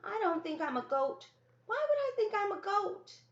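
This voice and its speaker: Woman voicing a high-pitched, stubborn little boy. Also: big pitch swings, normal speed, exaggerated voice. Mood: indignant. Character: stubborn, impatient little boy, younger brother. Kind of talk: children's novel read-aloud. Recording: roomy, echoey, dull lo-fi sound.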